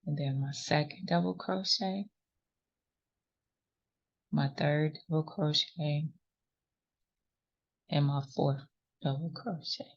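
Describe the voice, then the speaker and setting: Slow, low-voiced woman teaching crochet. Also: fairly even intonation, vocal fry, lo-fi sound. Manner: patient, reassuring, counts stitches aloud. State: sniffly runny nose. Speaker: crochet tutorial host. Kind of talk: step-by-step crafting instruction.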